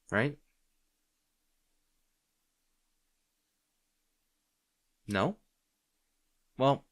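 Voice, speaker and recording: A man's voice: on the low side, a bit bright, no room sound, clean studio sound. A man playing an arrogant but secretly caring supervillain rival, bantering with the listener in an audio roleplay. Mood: teasing, reassuring. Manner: teasing, bantering, grudgingly sincere.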